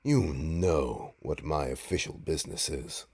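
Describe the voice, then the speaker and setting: A man playing a suave, smug supervillain in an audio roleplay, teasing his nemesis with a pompous, mock-polite drawl and dramatic pauses. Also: a bass voice, darkish tone, heavily acted. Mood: haughty, evasive. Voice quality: gravelly, husky. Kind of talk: audio roleplay monologue addressed to listener.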